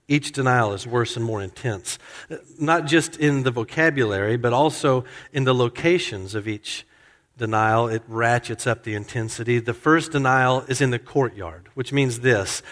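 A man delivers an expository sermon, explaining each verse in turn. He acts out quoted lines in a raised, animated voice and then drops back to plain teaching. His mid-range voice carries a long reverb, as if heard in a large church. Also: mid-range voice. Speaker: pastor. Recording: hall reverb.

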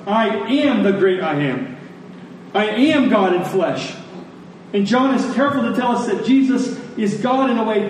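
A man preaching a sermon to a congregation, phrasing deliberately and emphatically and repeating lines for effect. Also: sits high, a little mellow. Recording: echoey room, distant mic, faint noise.

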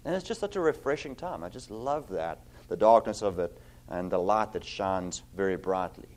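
A middle-aged man with a South African accent, giving a sermon as a guest preacher. His voice sits on the low side. He talks in an earnest way with plenty of ums, then reads scripture slowly and firmly. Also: expressive, darkish tone. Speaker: visiting preacher.

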